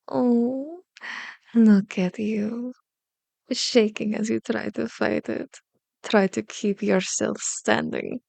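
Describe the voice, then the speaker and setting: A woman's voice: on the high side, gravelly, dry recording, clean studio sound, heavily acted. A woman playing a powerful, manipulative female vampire in an ASMR roleplay, seductive and condescending, slipping into whispers as she commands and coaxes. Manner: slow, commanding, mock-sweet taunting with whispered words.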